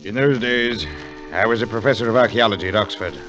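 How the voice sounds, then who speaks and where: An actor playing a middle-aged English professor of archaeology in a 1940s radio drama, bookish and eager, with a scholarly, avuncular manner. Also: male voice, on the low side, fast talker, close to an everyday voice. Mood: reflective, ominous. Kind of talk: 1940s radio drama acting, first-person narration and dialogue. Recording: muffled vintage radio recording, music behind.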